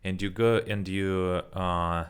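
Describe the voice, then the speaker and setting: Non-native English-speaking young man, deep clear voice, loose casual vlog chat full of fillers and restarts. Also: fairly even intonation, takes it slowly. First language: not English. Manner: rambling, self-correcting, frequent fillers. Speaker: vlogger. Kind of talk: casual spoken practice vlog.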